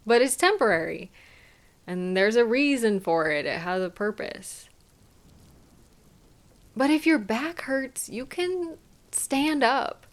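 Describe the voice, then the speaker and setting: A doting, affectionate girlfriend acted by a woman speaks in an intimate audio roleplay. Her voice sits a little high and moves very slowly. She is soothing and softly playful as she gently coaxes her partner. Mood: gentle reassurance. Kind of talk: intimate roleplay audio, one-sided conversation.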